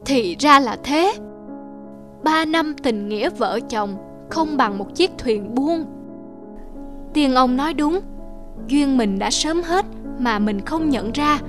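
A woman's voice: sits high, expressive, slow, pauses often, a bit bright, soft background music, full character voice. A woman voicing a humble, devoted young husband in a cartoon fairy tale, earnest and plaintive. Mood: sorrowful.

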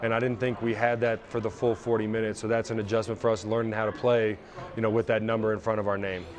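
A man in his thirties, a head coach giving a measured, analytical post-game interview on a lapel mic. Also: lowish voice, level tone. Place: indoor arena interview backdrop.

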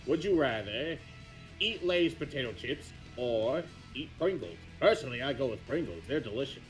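A man as a blustering cartoon crocodile, swinging pitch. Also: animated, normal speed, soft background music, lightly acted. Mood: casual. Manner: defensive, easily riled, mocking. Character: loud, grumpy, blustering male cartoon crocodile. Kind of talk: improvised in-character banter.

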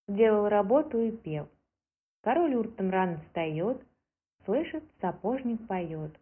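A woman telling a scripted folk tale as a storyteller. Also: husky. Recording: muffled, low-fidelity phone-like sound.

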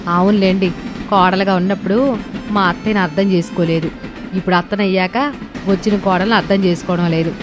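A woman acts out a stubborn middle-aged mother-in-law from a cartoon moral tale. She grumbles with bitter sarcasm, over clearly audible music. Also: medium pitch, steady tone, brisk, lightly acted. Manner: complaining, sarcastic. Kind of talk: animated moral story voice acting.

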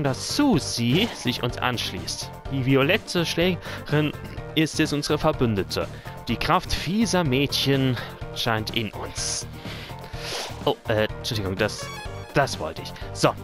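A man hosting a let's play, casually commenting on the game and reading its text aloud. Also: mid-range voice. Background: game music.

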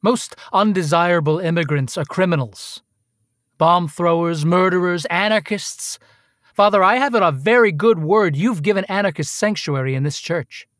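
An actor in a radio play playing a hard-nosed, insinuating Pinkerton detective, pushy and coolly threatening. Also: male voice, high voice, moderate pace, a bit bright, dry recording, clean studio sound. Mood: accusing.

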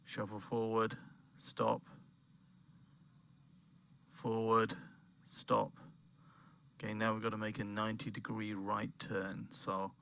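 Man playing a ski guide giving step-by-step cues, slow-paced and calm, with a gravelly low voice. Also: slight background noise. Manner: calm, repetitive, directive cues. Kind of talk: step-by-step spoken guiding commentary.

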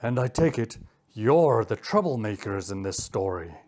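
A woman voicing a stern, commanding male cheetah with a calm, menacing manner in a children's story. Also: medium pitch, normal speed, voice pushed down, lightly acted. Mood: calm menace. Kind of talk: children's animal story character voice.

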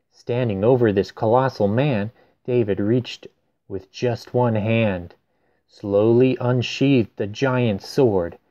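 A man's voice: lowish voice, mellow, dry recording, lo-fi sound. A man reading a rhyming children's picture book aloud, adding simple casual explanations for young listeners. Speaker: storyteller.

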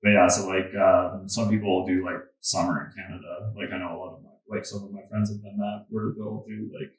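Low-voiced man in a roomy space. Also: level tone, brisk, distant mic. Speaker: podcast guest. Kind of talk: casual chat. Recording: roomy, away from the mic.

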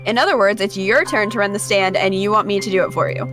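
A woman's voice: medium pitch, quick pace, over music. Young woman reading a visual novel aloud. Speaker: gaming video co-host.

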